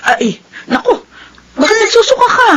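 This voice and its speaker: A woman's voice: very high voice, big pitch swings, normal speed, a bit bright, faint music behind, heavily acted. A woman playing an anxious, loving mother in a Filipino radio drama, with an urgent, emotional and pleading delivery. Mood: alarmed.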